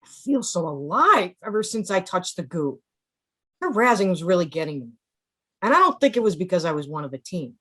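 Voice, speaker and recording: A woman's voice: on the low side, big pitch swings, fairly quick, a bit bright, husky, full character voice. A woman acting a wounded, newly emboldened outcast turned vengeful shark convert in a musical table read. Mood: emboldened, bitter.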